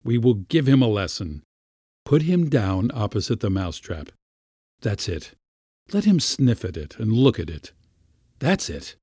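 A man's voice: on the low side, big pitch swings, a little slow, pauses often, dark tone, husky, no room sound, clean studio sound, heavily acted. A man voicing a pompous, short-tempered Latin schoolmaster in a storybook reading, scolding and giving orders. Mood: grim relish. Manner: scolding, commanding, ranting. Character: irascible Latin schoolmaster uncle, pompous and furious.

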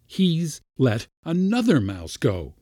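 A man's voice: medium pitch, very animated, unhurried, darkish tone, breathy edge, no room sound, studio quality. A man voicing a pompous, short-tempered Latin schoolmaster in a storybook reading, scolding and giving orders. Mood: raging. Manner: scolding, commanding, ranting. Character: irascible Latin schoolmaster uncle, pompous and furious.

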